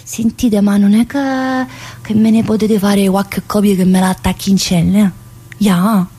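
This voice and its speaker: A woman's voice: medium pitch, level tone, a little slow, warm tone, slightly rough. An actress playing a talkative, earthy woman inmate in a theatrical monologue, rambling colloquially with a central-southern Italian accent. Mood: cheeky, wistful. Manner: colloquial, rambling, full of interjections.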